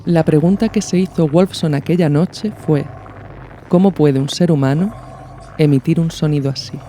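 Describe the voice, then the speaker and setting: A man hosting a podcast, reading scripted educational narration with measured, explanatory phrasing. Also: on the high side, dark tone, a little hiss or hum. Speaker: podcast host.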